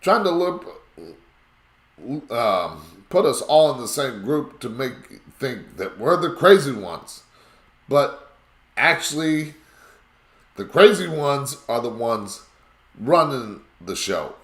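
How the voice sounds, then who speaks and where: A man with a raspy voice hosting a live call-in talk show, talking in a rambling, emphatic way. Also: medium pitch, sing-song, takes it slowly, long pauses, echoey room.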